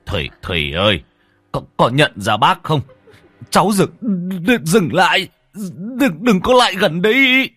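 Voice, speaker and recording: A man's voice: sits high, very animated, measured pace, sharp tone, slightly rough, no echo, soft background music, pitched above the performer's own voice, heavily acted. A man voicing a frightened, loyal servant who stammers in a horror story. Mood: terrified.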